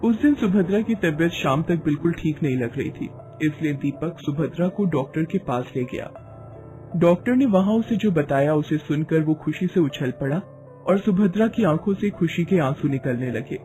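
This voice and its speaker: A man's voice: on the high side, animated, moderate pace, warm tone, faint music behind. A man narrating a scripted moral story in a dramatic storytelling manner.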